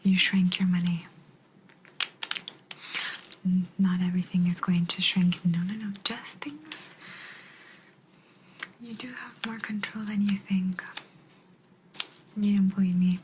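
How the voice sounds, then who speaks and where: A woman voicing a kind but aloof alien examiner in an ASMR roleplay, in a breathy whisper with a gentle, halting delivery. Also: mid-range voice, noisy background, natural voice. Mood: calm, aloof. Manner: slow, halting, gentle, calmly detached.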